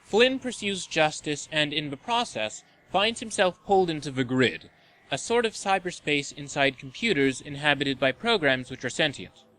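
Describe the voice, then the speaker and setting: A man's voice: fairly high pitch, expressive, normal speed, a bit bright, poor audio. A man reading a scripted review narration as the host of a countdown list video.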